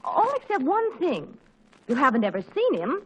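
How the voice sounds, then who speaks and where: A woman's voice: high-pitched, huge pitch swings, moderate pace, highs cut off. A woman acting a slightly vain, puzzled mother in her thirties, with dry, coy delivery in a 1940s radio sitcom.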